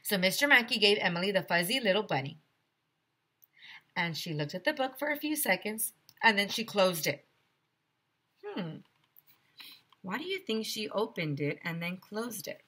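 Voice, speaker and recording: Animated bright-voiced woman reading to children. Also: mid-range voice, moderate pace, long pauses, breathy edge. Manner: expressive, chatty asides to young listeners. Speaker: teacher reading aloud to pupils. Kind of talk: animated children's storybook read-aloud.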